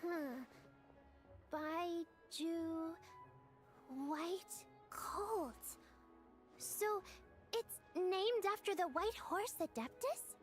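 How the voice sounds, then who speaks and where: A woman's voice: extremely high pitch, drawn-out words, long pauses, soft background music, exaggerated voice. A woman voicing a sweet, polite, earnest little girl with a childlike voice in a video game. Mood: curious. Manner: earnest, explaining.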